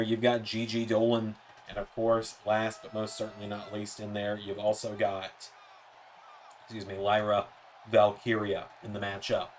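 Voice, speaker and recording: A man doing play-by-play commentary for a wrestling video game, his voice a bit hoarse and softer than usual, with slips and self-corrections. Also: lowish voice, slight background noise. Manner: rapid play-by-play, rising excitement on near-falls.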